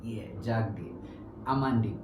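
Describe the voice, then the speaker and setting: A man's voice: lowish voice, slow, distant mic, background noise. A young man with a Kenyan accent hosting a podcast in casual chat, switching between English and Swahili slang with many restarts. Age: young adult. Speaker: podcast host. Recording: roomy, off-mic with faint ambience.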